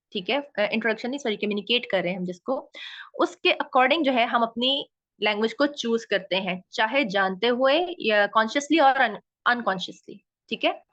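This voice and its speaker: A woman's voice: a little high, a bit bright. A woman with a Pakistani Urdu accent, a linguistics researcher explaining concepts and often checking in with "okay?". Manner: frequent "okay?" checks, mixing in English phrases. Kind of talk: explanatory talk. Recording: dull lo-fi audio.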